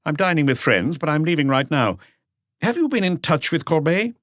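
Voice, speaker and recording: Audiobook prosecutor, acted by a man: official, brisk and commanding, raised pitch, dry sound. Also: big pitch swings, a bit bright, no echo. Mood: brisk, urgent. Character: brisk, authoritative male public prosecutor. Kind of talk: audiobook character voice.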